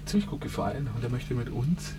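A man's voice: mid-range voice, brisk. Male band member, mid-range voice, informal chat in very noisy ambience. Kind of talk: casual chat. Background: loud room noise.

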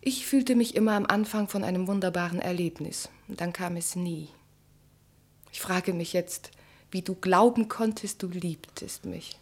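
An actress playing a bitter, mocking estranged wife in a German literary radio play, with an articulate, cutting, theatrical delivery. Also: female voice, lowish voice, normal speed. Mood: wistful, disappointed.

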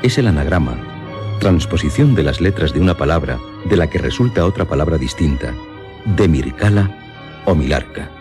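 A man's voice: very deep voice, lively intonation, normal speed, over loud music. A man reading a scripted story recap as a radio narrator.

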